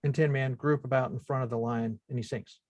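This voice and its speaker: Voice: medium pitch, steady tone, brisk, a little mellow, no echo, highs cut off. A narrator reading out screenplay stage directions as scripted narration at a table read.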